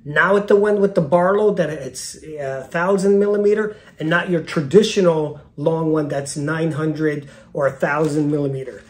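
A man's voice: a little high, moderate pace, few pauses. A middle-aged man hosts from an indoor room, talking straight to a desk camera. His delivery is loose and chatty, full of fillers and restarts as he walks viewers through gear choices. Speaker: astronomy channel host. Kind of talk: casual gear advice to camera.

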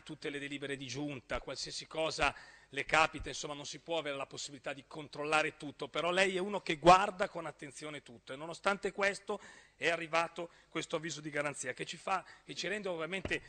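Man, 30s, northern Italian accent, council speech, very bright voice, long hall reverb. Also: fairly high pitch, fairly even intonation, normal speed. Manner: forceful, rising to emphatic outbursts, gestures while speaking. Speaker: regional councillor. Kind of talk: parliamentary speech. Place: large council chamber. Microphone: desk gooseneck microphone.

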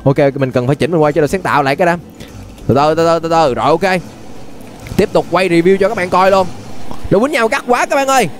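A young man with a southern Vietnamese accent giving excited, exclamatory gameplay commentary, often repeating phrases as he reacts. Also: a little high, fast, slight background noise. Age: young adult. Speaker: gaming YouTuber, host. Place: indoors at a gaming setup. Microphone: desk microphone close to the face.

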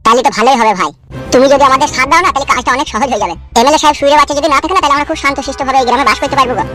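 A woman's voice: high voice, rapid-fire, pushed and bright, faint music behind. A woman voicing an earnest, pleading villager who plots in a comedy cartoon. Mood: conspiratorial.

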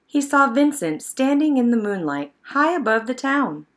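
A woman's voice: sits high, sing-song, normal speed, fairly bright tone. Woman reading a children's storybook aloud. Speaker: storybook reader. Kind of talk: scripted narration, read-aloud of a children's picture book.

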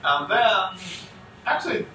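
A man gives a conference keynote talk in halting English full of restarts, speaking from the front of a conference room. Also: sits high, big pitch swings, pushed and bright. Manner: halting non-native English, frequent restarts. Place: conference room with projector screen. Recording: echoey room, distant mic, faint hum.

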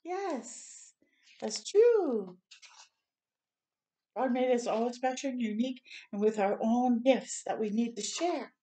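A woman's voice: a little high, expressive, slow pace, long pauses, dark tone. Older woman reading a picture book aloud. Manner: encouraging, chatty asides to young listeners. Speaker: children's storytime reader. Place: small room at home, indoors.

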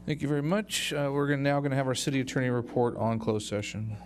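A man's voice: medium pitch, quick pace. A middle-aged American man chairing a council meeting in a formal, businesslike way, partly reading aloud. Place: council chamber. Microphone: dais microphone. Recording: loud hum and noise.

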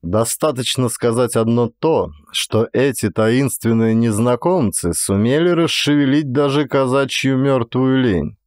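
A man reading a literary story as an audiobook, with an actor's expressive, measured storytelling delivery. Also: mid-range voice, dry recording, studio quality. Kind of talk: dramatic literary audiobook narration.

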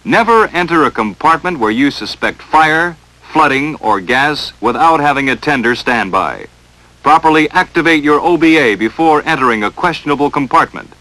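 A man's voice: on the high side, expressive, moderate pace, pushed and bright, dry recording, poor audio. An American man narrating a 1940s instructional film in a stern, emphatic, dramatic coaching manner, on a vintage film soundtrack.

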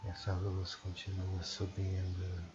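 Very slow, deep Brazilian man guiding meditation. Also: completely flat delivery, dull audio. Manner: soothing, hushed, long pauses. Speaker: guided meditation narrator. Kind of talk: slow guided sleep meditation. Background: prominent meditation music.

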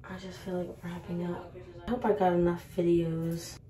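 A woman's voice: lowish voice, level tone, moderate pace. A woman in her twenties, a little tipsy, rambling to camera in a casual vlog and giving an earnest pep talk full of fillers. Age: 20s. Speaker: vlogger. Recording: loud background noise.

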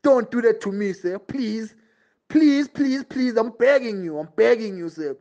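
Grovelling henchman played by a man, high voice, deferential, repeats himself, audio drama. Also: unhurried, warm tone, highs cut off. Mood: desperate pleading. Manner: pleading, repetitive, deferential. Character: servile, desperate henchman grovelling to his boss. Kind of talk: amateur audio drama acting.

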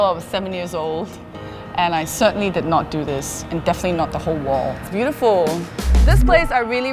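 A young woman hosting a casual food and travel vlog in an informal, expressive way. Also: mid-range voice. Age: young adult. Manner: informal, expressive, mildly sweary. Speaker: web show host.

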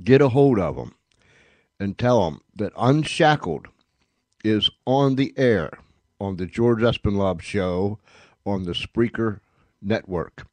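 A man's voice: fairly low pitch, animated, slow, long pauses, a little mellow, no room sound. A man hosting a radio show, announcing in a folksy, plain-spoken way with joking asides.

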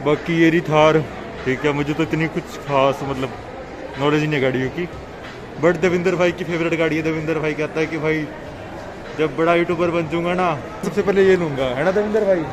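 Young man vlogging over loud noise. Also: a little high, fairly even intonation, fairly quick, a crowd in the background. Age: 20s. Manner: joking, colloquial. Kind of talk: casual vlog chat. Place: outdoor streets and busy mall. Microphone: phone at arm's length. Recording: very noisy phone vlog sound. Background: loud urban ambience.

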